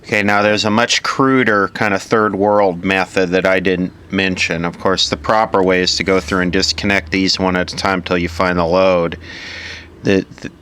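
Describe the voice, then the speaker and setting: Low, bright-voiced man explaining a repair. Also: flat delivery, moderate pace, a little hiss or hum. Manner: matter-of-fact, thinking aloud in pieces. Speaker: electronics repair instructor. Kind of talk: informal hands-on repair tutorial.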